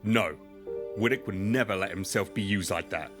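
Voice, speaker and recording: Man as loyal commander, fairly deep, serious, concerned, audio drama, clear background music. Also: normal speed, natural voice. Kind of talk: scripted fantasy audio drama acting.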